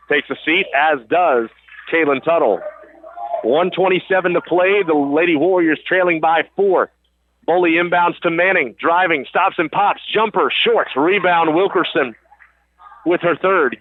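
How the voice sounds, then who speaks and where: A man doing live play-by-play sports commentary as a radio announcer, in clipped phrases that he stretches for emphasis on big plays. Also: a little high. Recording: phone-like radio broadcast, loud gym noise. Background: crowd and game noise.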